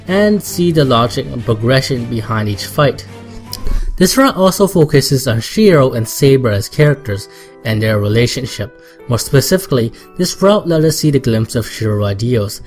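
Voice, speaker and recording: A man's voice: mid-range voice, a little mellow. A man reading a scripted video game review as a voice-over. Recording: voice-over with music behind.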